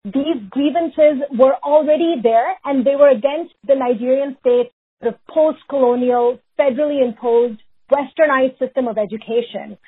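A woman from Pakistan, a researcher answering interview questions in a measured way, often hedging with "sort of" and "if you will". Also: sits high, husky, dry recording. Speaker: researcher and guest. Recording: phone-like remote line.

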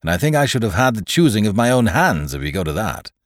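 A blunt, dutiful sea captain from an adventure storybook, played by a male reader. He speaks formally and firmly, with lively swings of pitch in a dry, close recording. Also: fast talker, no echo. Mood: stern, blunt. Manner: formal, firm, plain-spoken.

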